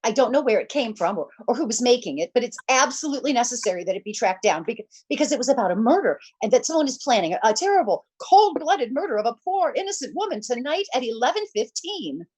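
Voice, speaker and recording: A woman's voice: on the high side, very animated, quick pace, few pauses, darkish tone, husky. An actress playing an agitated, demanding married woman in an old-time radio thriller, stammering and repeating herself indignantly. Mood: anxious, insistent. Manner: stammering, breathless repetitions, indignant.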